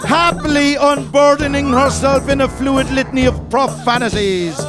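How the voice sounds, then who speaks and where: A man's voice: very high-pitched, normal speed. Over prominent music, a man performs poetry in a dramatic reading style. It is a spoken piece made for an audio production. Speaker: poetry reader.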